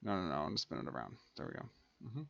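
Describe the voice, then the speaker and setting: A man's voice: rumbling low, sing-song, very fast, gravelly, fairly bright tone, no echo, highs cut off. A man giving casual let's play commentary as a gaming host, thinking aloud and muttering to himself.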